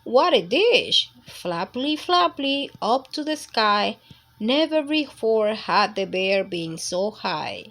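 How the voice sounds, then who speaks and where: A woman's voice: mid-range voice, very animated, slow pace, fairly bright tone. A woman reading a picture book aloud as a storytime narrator for young children.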